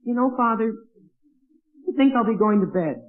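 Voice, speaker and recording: A man's voice: very high voice, animated, normal speed. An actor playing an anxious, evasive teenage boy with a stammering, whiny manner in an old radio sitcom. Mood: nervous, evasive. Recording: dull, lo-fi vintage radio recording.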